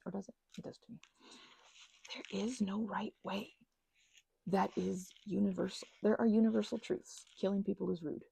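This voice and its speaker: A woman's voice: medium pitch, fairly even intonation, moderate pace, gravelly, mellow, husky, no echo. A woman chatting informally to her listeners in a rambling, meandering way, drifting into asides and offering casual encouragement. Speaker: ASMR and audio channel creator.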